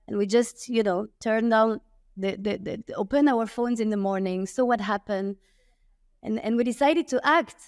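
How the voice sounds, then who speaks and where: A woman from France speaks in English with a French accent. Her voice sits a little high and comes through smooth and clear. She talks earnestly and with feeling, often restarting her sentences and checking in with "okay?", recorded dry and close. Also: a little mellow, no echo. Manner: earnest, emphatic, with frequent restarts and "okay?" asides. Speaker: guest, tech entrepreneur. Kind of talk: remote interview conversation.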